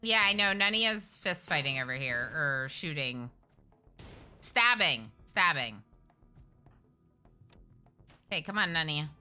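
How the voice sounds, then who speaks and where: Woman streamer, chatty gameplay commentary, animated rises and falls, sharp bright tone, faint music behind. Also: deep voice, slow pace, pauses often. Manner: chatty, talks to her game characters, excitable. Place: at home with her cat.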